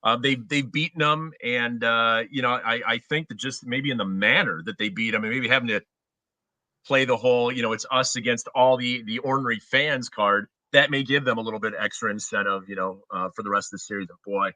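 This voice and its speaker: Very bright, crisp middle-aged American man. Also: medium pitch, fairly quick, no echo, poor audio. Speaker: interview guest, former stadium announcer. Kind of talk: casual sports interview.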